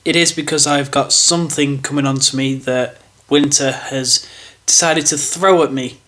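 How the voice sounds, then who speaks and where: A young man talks in a casual, rambling way, thinking aloud with plenty of fillers and restarts. His voice sits a little high and sounds stuffy and bunged up from a cold. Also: steady tone, moderate pace, a bit bright, a little hiss or hum. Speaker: model railway hobbyist. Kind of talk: casual show-and-tell walkthrough.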